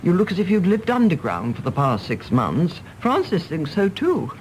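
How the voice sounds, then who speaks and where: A woman's voice: fairly low pitch, huge pitch swings, darkish tone, a little hiss or hum, low-quality recording. An older woman with an English accent playing a bossy, fussing mother of a grown son in a radio drama, imperious and chiding. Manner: imperious, chiding, brisk with endearments. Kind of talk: stage-play radio drama acting.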